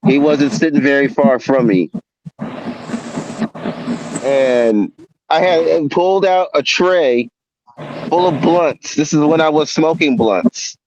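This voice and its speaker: A man's voice: on the high side, takes it slowly, pauses often, muffled recording, background noise. A man in his forties, a guest in a casual interview, who talks in a laid-back way with stammering restarts. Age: 40s.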